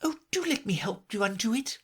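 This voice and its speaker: A man's voice: high-pitched, sing-song, a little fast, slightly rough, pitched above the performer's own voice, heavily acted. A man voicing an eager, polite little girl in a storybook reading. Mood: earnest. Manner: eager, humble, earnest.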